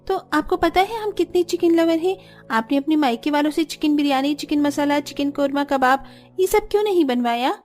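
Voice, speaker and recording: A woman's voice: high-pitched, moderate pace, a little mellow, soft background music, natural voice. A woman voicing female relatives and guests in a cartoon family drama. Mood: complaining.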